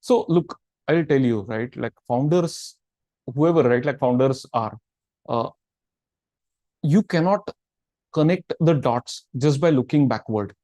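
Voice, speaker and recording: A man's voice: medium pitch, takes it slowly, pauses often, warm tone, no room sound. A middle-aged man answering audience questions in a casual talk, filling his sentences with "right, like" and "I mean". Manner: filler-heavy, repeats "right, like". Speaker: guest speaker answering questions.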